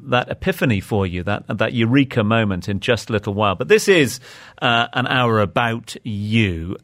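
A man's voice: on the low side, gravelly, a bit bright, no echo. A man hosting an interview, affable and encouraging, stumbling through restarts and fillers. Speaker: radio show host.

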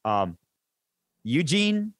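A man's voice: on the high side, big pitch swings, drawn-out words, no echo. Male sports announcer chatting, close dry sound. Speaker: sports radio play-by-play announcer, interview guest. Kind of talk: casual sports interview chat.

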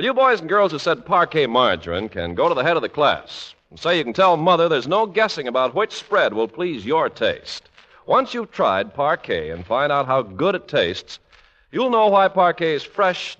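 Male American announcer, 1940s radio sponsor pitch, raised pitch, punchy bright tone, vintage lo-fi broadcast sound. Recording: dull, band-limited 1940s radio recording.

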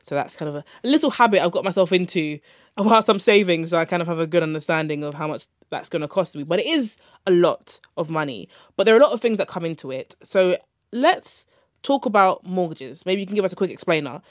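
A woman's voice: lowish voice, lively intonation, quick pace, dry recording, studio quality. A young woman hosting a podcast, introducing her guest and asking questions in a friendly, conversational way. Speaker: podcast host. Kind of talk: podcast interviewing.

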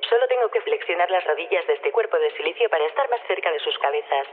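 Formal AI on a tinny phone. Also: male voice, sits high, animated, quick pace. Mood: detached, polite. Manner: stiffly formal, over-literal phrasing. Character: literal-minded, polite artificial intelligence in a silicon body. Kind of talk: radio drama. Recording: tinny, narrowband phone line.

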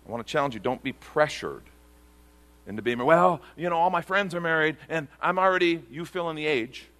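A man's voice: fairly high pitch, a little fast, fairly bright tone. A man pastor preaching a sermon to a congregation, earnest and repeating key phrases for emphasis.

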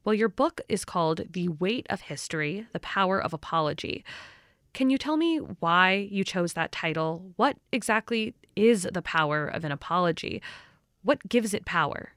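A woman's voice: lowish voice, expressive, a bit bright, no echo. Woman radio host, dry close sound. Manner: measured, inquisitive questioning. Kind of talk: public radio interview.

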